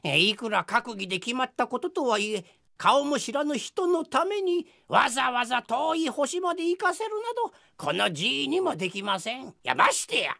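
A woman voicing a fussy, pompous old male royal minister in an anime cartoon, with archaic speech and a creaky voice. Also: high voice, big pitch swings, normal speed, pushed and bright, no room sound, studio quality, exaggerated voice. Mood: flustered. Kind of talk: Japanese anime acting.

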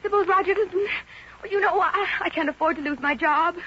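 A fretful, lovelorn schoolteacher in a 1950s radio comedy. She is earnest and plaintive, with a very high voice. The old recording is muffled with faint noise. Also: a little fast, pushed and bright. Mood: anxious.

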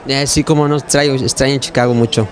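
Hesitant jockey, handheld mic. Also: male voice, mid-range voice, fairly even intonation, quick pace. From Mexico. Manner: hesitant, many fillers and restarts. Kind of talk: on-camera interview answers. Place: racetrack clubhouse indoors. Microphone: handheld mic held by interviewer.

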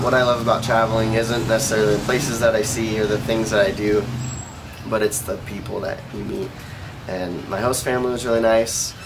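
A young American man from Oregon giving casual interview answers about his experience as a volunteer. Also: fairly low pitch. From Oregon, United States. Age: young adult. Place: outdoor rooftop terrace. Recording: clear outdoor background noise.

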